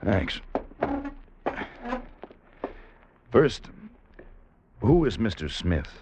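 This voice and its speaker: An actor with an American accent playing a wry freelance correspondent turned agent, in a 1950s radio drama. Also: male voice, fairly low pitch, very animated, slow pace, a little mellow, breathy edge, heavily acted. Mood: casual, wry. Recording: muffled old radio recording, music behind.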